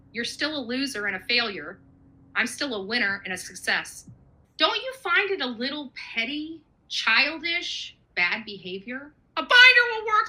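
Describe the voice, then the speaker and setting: Taunting lady ranting on stream, really piercing bright voice, highish. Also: poor audio. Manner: confrontational, taunting. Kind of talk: livestream rant.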